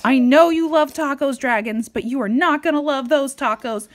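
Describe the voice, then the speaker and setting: A woman reading a picture book aloud to a young child in a playful, expressive way with dramatic emphasis. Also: high voice, moderate pace. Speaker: storybook reader.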